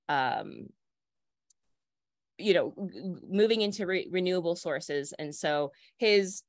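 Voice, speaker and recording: Female moderator, chatty and halting, low voice on a dry call line. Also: no room sound. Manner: hesitant, lots of fillers and restarts. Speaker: moderator asking questions. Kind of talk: casual conversational questions.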